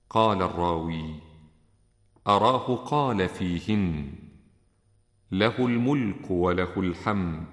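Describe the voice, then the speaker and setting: A man reading hadith and supplications in classical Arabic, solemn and deliberate, with drawn-out phrase endings. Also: low voice. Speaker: religious reciter. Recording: echoey with long reverb.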